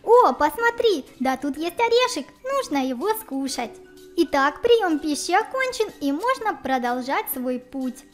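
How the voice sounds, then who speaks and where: A woman with a very high voice co-hosts a game playthrough for children. She is chatty and exclamatory, and she addresses the young viewers directly. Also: sing-song, unhurried, soft background music. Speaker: co-host of a children's let's play.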